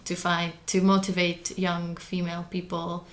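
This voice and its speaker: An earnest young woman, a Hungarian native speaker, talks as a podcast guest in a low, level voice. She goes carefully and stops often with small fillers while she looks for the right word. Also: monotone, normal speed. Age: young adult. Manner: careful, earnest, with frequent hesitations. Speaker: guest, early career mathematician. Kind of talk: podcast interview.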